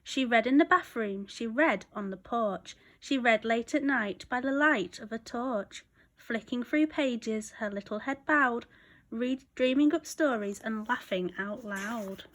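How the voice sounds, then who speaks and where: A woman reading a rhyming picture book aloud as a storyteller, expressive and rhythmic. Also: fairly high pitch, unhurried.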